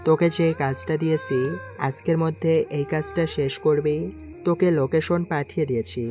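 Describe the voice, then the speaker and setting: A man's voice: fairly high pitch, fairly even intonation, normal speed. Male story narrator over music. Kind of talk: scripted first-person story narration with acted dialogue. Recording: music clearly behind the voice.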